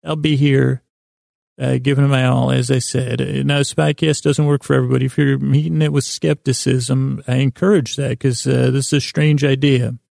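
A man with a heavily creaky, gravelly voice at a middling pitch delivers a meandering bedtime monologue. He hesitates, doubles back and repeats words as he talks the listener toward sleep. The sound is close and dry. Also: flat delivery, a little mellow, no room sound. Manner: meandering, halting, self-interrupting, repeats words. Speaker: podcast host.

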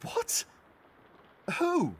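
An actor playing a defensive, frightened lifestyle influencer held hostage in an audio drama, flustered and pleading. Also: male voice, drawn-out words, a little hiss or hum. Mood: panicked.

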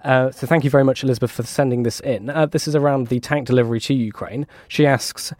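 A man hosting a podcast, presenting informally in a brisk, polite way. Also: medium pitch, fairly even intonation, a little mellow, no room sound, clean studio sound. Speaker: podcast host.

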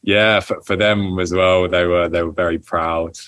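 Young man, very low voice, dry close sound, halting with restarts. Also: steady tone, fairly bright tone, no echo. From England. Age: young adult. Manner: hesitant, many restarts and ums, laughs often. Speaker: footballer guest. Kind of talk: casual interview answers.

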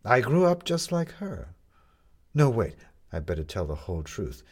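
A man's voice: mid-range voice, big pitch swings, moderate pace, a little mellow, voice pushed up. A man voicing a bitter, proud, talkative male con artist in an audiobook, with emphatic, rhetorical delivery. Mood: confessional, impassioned.

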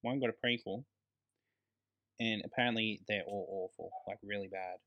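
A man's voice: lowish voice, steady tone, moderate pace, muffled recording, slight background noise. An Australian man co-hosting a casual film podcast, talking in a blokey, emphatic way with some swearing. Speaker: podcast co-host.

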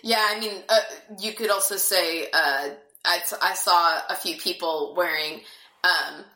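A woman's voice: lowish voice, unhurried, bright, forceful tone. A woman guest in a casual podcast chat, musing aloud with lots of "I mean" and asides. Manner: thoughtful, rambling, hedges with "I mean". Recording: echoey room.

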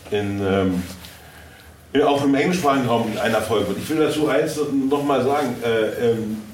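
Male panelist, lowish voice, halting and repetitive delivery, picked up from afar in a reverberant room. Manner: halting, searching for words with repeated words. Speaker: audio drama producer on a convention panel. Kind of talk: spontaneous panel talk. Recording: echoey room, distant mic, faint noise.

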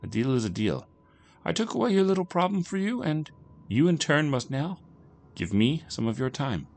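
A man's voice: a little high, sing-song, moderate pace, darkish tone, voice pushed up. A man voicing a sinister, formally polite stranger who offers devilish bargains in a story reading. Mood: coolly menacing. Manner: formal, smooth-talking, taunting. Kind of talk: audiobook character acting.

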